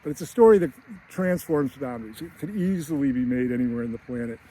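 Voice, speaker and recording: A man's voice: mid-range voice, expressive, quick pace, dark tone, a little hiss or hum, low-quality recording. A middle-aged man with a Boston accent, a screenwriter talking casually to camera outdoors near a beach, rambling and chatty with frequent restarts. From United States. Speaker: screenwriter and teacher.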